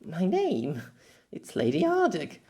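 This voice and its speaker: A man puts on a regal young sorceress for a fantasy audiobook, lifting the pitch well up. She is proud and teasing, with haughty, grandly formal phrasing and theatrical swoops. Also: wildly swooping pitch, slow, a little mellow, exaggerated voice.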